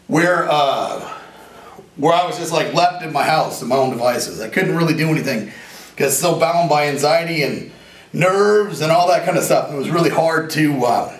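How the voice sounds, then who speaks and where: A man in his forties with a raspy voice, an inventor talking in a casual, rambling and earnest way, sometimes joking about himself. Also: a little high. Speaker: inventor and veteran interviewee. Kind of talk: casual interview talk. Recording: roomy echo, from a distance.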